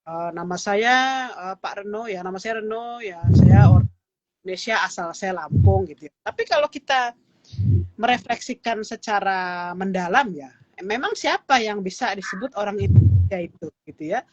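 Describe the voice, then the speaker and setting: A woman's voice: medium pitch, normal speed, a little mellow, no room sound, highs cut off. A woman in her thirties, a guest expert, explaining heritage in an interview with many "eh" and "ya" fillers.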